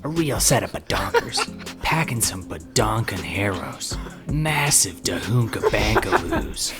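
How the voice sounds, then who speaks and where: A man doing a comic reading in a hushed, conspiratorial whisper. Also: fairly low pitch, big pitch swings, a little slow, over music. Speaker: comedy reader.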